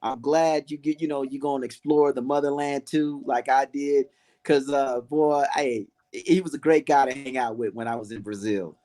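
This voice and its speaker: A man, a guest in a casual chat, telling relaxed travel stories. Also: mid-range voice, moderate pace. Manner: easygoing, affirming, storytelling.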